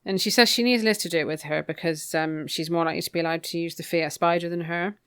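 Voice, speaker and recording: A woman's voice: lowish voice, fairly even intonation, quick pace, fairly bright tone, clean tone, dry recording, studio quality. A woman co-hosting a podcast, chatting casually through a recap with wry, opinionated asides. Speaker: podcast co-host. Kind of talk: casual book recap chat.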